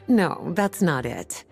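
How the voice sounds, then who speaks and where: A woman's voice: lowish voice, big pitch swings, drawn-out words, creaky, heavily acted. A woman playing a poised, indulgent librarian mage in a video game, speaking in a languid, coy, teasing way. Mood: amused, explaining. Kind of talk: video game character acting. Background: music.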